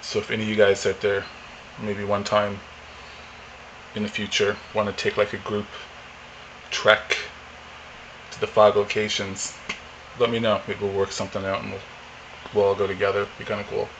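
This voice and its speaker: A man's voice: on the low side, monotone, normal speed, long pauses, fairly bright tone, slight background noise, poor audio. A man in his forties, chatting casually and rambling with many fillers while unboxing at home. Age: 40s. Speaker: vlogger. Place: home living room, indoors.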